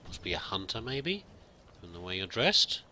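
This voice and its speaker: A man's voice: deep voice, very animated, normal speed, pushed and bright. A man hosting a roleplay let's play, chatting and musing aloud and reading in-game text as he plays.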